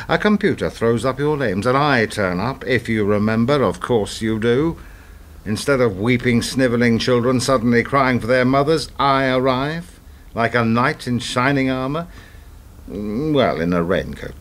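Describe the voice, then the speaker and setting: An actor in a radio play, playing a menacing, controlling middle-aged fixer in a deliberate, condescending, sardonic manner. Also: male voice, medium pitch, a bit bright, natural voice.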